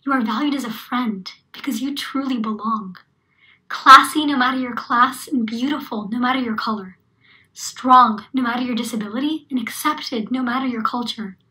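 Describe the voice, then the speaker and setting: A woman's voice: fairly high pitch, normal speed, pushed and bright, rough recording. A woman reciting spoken-word poetry at a poetry slam.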